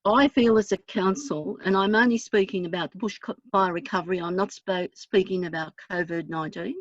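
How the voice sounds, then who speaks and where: Brief: woman councillor, husky and hoarse, earnest and plain, on a dry, dull meeting mic. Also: mid-range voice, steady tone, dry recording. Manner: earnest, measured, plain-spoken. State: hoarse from lingering smoke.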